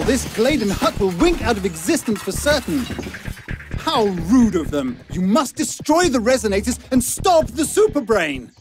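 A man with a British accent playing a fussy, kindly children's storybook narrator in a game, theatrical and quaintly polite. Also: high-pitched. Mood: alarmed. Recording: music under the voice.

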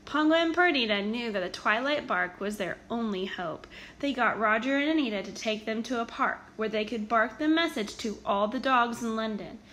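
A woman reading a children's story aloud in an expressive, animated storytelling manner with emphatic stresses. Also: a little high, normal speed, a little hiss or hum. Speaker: storybook reader.

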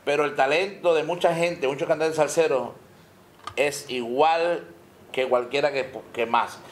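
A man's voice: a little high, fairly even intonation, measured pace, a little hiss or hum. A man in his forties, a salsa singer at an indoor press conference, talking effusively and telling jokes with frequent laughter. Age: 40s. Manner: boisterous, tells jokes, laughs often. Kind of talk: joking, effusive press talk. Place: indoor restaurant, seated at a table. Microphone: table microphones, later handheld mic.

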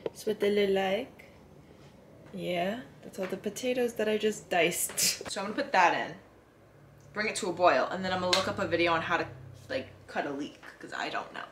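A woman's voice: medium pitch, normal speed. A young adult woman chatting informally and slangily to camera while cooking in a home kitchen, thinking aloud as she goes. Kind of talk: casual vlog chat while cooking. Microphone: camera set up a step away. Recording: echoey room, faint noise, kitchen clatter.

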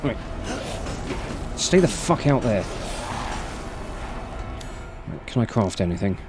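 A man's voice: on the low side, sing-song, takes it slowly. Sweary male gamer over game music. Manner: sweary, exasperated reactions. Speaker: video game streamer. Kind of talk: casual gameplay commentary. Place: indoor room, seated at gaming setup. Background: game music and sound effects.

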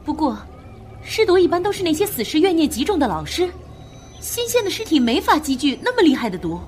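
A woman's voice: sits high, very animated, a little fast, darkish tone, a little hiss or hum. A woman playing a superstitious, jumpy, outspoken grave robber in a wartime audio drama. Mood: uneasy, insistent. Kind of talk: Chinese audio drama acting.

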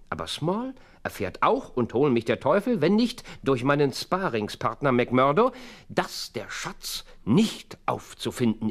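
An actor playing a brilliant, impatient detective in a German radio play, with a deliberate, dramatic delivery and hushed phrase endings. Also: male voice, medium pitch. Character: brilliant, theatrical male detective, sure and impatient.

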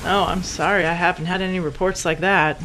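An American actress playing courteous, slightly hesitant small-town women, a sheriff and a wife, in an audio drama. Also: female voice, lowish voice, steady tone, a little fast, fairly bright tone, a little hiss or hum, lightly acted, rough recording. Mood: matter-of-fact.

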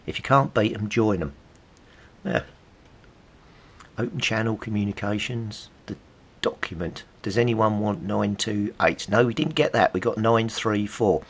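A man's voice: on the low side, a little slow, fairly bright tone, husky. A middle-aged British man giving casual, rambling, nostalgic commentary, chatty and musing aloud as he goes. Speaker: hobbyist presenter.

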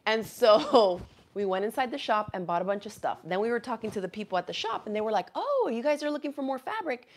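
A woman's voice: a little high, fast. Bubbly miami woman in her 30s chatting live at a desk camera, laughs a lot, spanish is her first language, small craft room. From Miami, USA. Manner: chatty and animated, laughs often, reads viewer comments aloud. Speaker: craft channel host. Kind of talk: casual live chat. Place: small indoor craft room with quilts on the wall.

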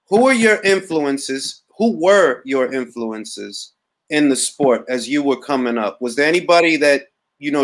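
A man in his late thirties hosting a casual interview over a video call, loose and chatty. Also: medium pitch. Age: 30s. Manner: loose, chatty, says "bro". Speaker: interview host. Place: small room indoors. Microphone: laptop webcam on video call.

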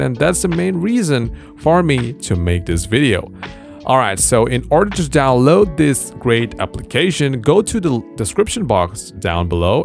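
Man, tutorial narrator, emphatic sing-song delivery, animated intonation, gravelly edge. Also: medium pitch. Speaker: tech tutorial host. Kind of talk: scripted software tutorial narration. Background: background music.

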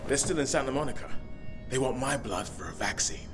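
A man's voice: medium pitch, expressive, a little fast, over music, natural voice. An actor playing a wry, determined game survivor, casual and self-assured in his delivery. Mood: hopeful. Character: wry, determined male survivor who believes himself immune. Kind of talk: video game cutscene acting.

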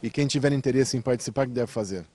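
A middle-aged man reporting outdoors, asking brisk interview questions into a handheld mic. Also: mid-range voice, dark tone, slight background noise. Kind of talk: field interview. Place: outdoors by a brick wall.

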